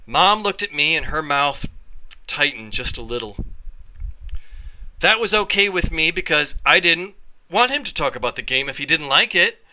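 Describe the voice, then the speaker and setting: A man reading a children's story aloud in an animated, expressive way, with dramatic pauses. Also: a little high, poor audio. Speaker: read-along storyteller, teacher.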